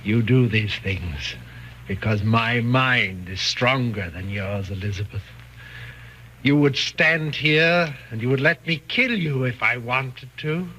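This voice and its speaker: An actor playing a domineering, murderous hypnotist in a 1940s radio thriller, slow, commanding and coaxing. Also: male voice, mid-range voice, bright, forceful tone. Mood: menacing, controlling. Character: sinister, domineering male hypnotist with murderous calm. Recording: dull, noisy 1940s radio recording.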